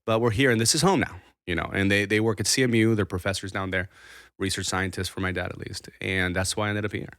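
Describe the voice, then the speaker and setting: A man's voice: deep voice, steady tone, fast, a bit bright, no room sound, studio quality. A man in his thirties from Argentina, a podcast guest talking casually in a rambling, self-interrupting way. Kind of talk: casual conversation.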